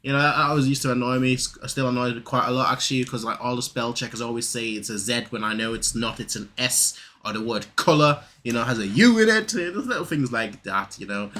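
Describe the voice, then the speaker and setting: Bright-voiced young man rambling casually. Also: mid-range voice, fast talker, few pauses, slight background noise. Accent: northern English, Manchester and Lincoln, with a German trace. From south Germany. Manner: rambling, many fillers and restarts. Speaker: reaction video host. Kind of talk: casual reaction commentary.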